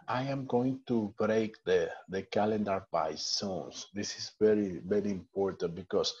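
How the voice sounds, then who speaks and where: A man's voice: lowish voice, a little mellow, highs cut off, slight background noise. A man from Mexico with a Mexican Spanish accent, Spanish his first language, giving an online training talk with many fillers and restarts. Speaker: network marketing trainer.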